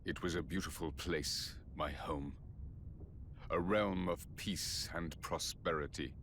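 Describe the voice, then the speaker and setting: A man's voice: very deep voice, slow, fairly bright tone, slight background noise, exaggerated voice. A man voicing a grave, bitter fallen king in a video game cutscene, solemn and theatrical, sometimes near a whisper. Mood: mournful, confessional.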